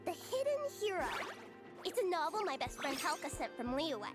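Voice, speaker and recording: A very high child's voice over clear music, for a little waitress in a video game. She sounds eager and chatty, her pitch bouncing around with imagination. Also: sing-song, moderate pace. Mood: excited. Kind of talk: English video game voice acting.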